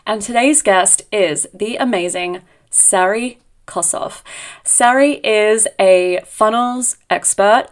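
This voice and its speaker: A woman hosting a podcast, speaking in an effusive, conversational way as she introduces and interviews. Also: medium pitch. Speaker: podcast host.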